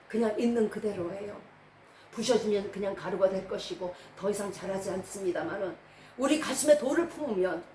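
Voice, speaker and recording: A woman's voice: mid-range voice, warm tone. A woman preacher delivering a didactic sermon, posing rhetorical questions to her congregation. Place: church hall during a service. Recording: echoey room, distant mic, background noise.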